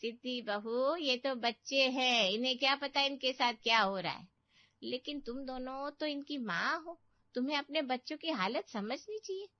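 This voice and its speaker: High-voiced meddling older aunt, acted by a woman. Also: moderate pace, no room sound, highs cut off, lightly acted. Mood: reproachful. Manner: scolding, pitying, boastful. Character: meddling, nagging older aunt stirring family trouble. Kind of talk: dramatic Hindi cartoon story acting.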